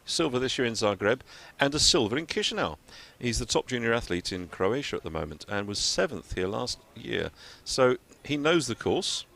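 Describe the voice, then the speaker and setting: A man calling a triathlon race live, steady and informative, pausing now and then with fillers. His voice sits at an ordinary middle pitch for a man, and he sounds like he is outdoors at the course. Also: creaky. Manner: measured, informative, with hesitation fillers. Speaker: race commentator. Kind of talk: live sports commentary and interview.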